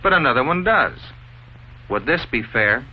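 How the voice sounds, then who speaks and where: A man playing an earnest school authority who explains rules in a deliberate, didactic way, like a 1950s instructional film. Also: medium pitch, expressive, natural voice. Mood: earnest lecturing. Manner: didactic, deliberate, asks leading rhetorical questions. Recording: dull, noisy old 1950s film soundtrack.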